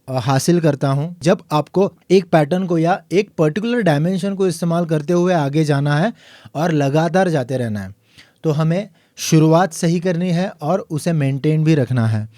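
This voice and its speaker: A man teaching a piano lesson, explaining calmly and counting the beats aloud. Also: fairly high pitch, no room sound, clean studio sound. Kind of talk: tutorial lesson.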